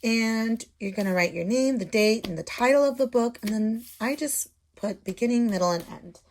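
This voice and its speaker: A woman's voice: a little high, moderate pace, a little mellow. A woman teacher explaining things simply to young children, talking casually as she draws and adding little check-in questions. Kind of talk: casual instructional talk while drawing.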